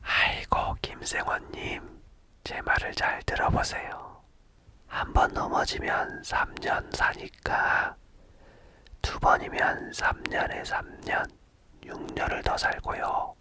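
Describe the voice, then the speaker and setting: A man's voice: takes it slowly, natural voice. A man whispering the part of a sly, cheerful salt seller in a folk tale. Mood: coaxing.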